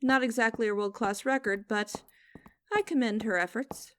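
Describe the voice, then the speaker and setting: A woman playing a stern, mocking young company boss in a scripted audio roleplay, speaking in a formal, deliberate and condescending way. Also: a little high, close to an everyday voice. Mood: stern, commanding.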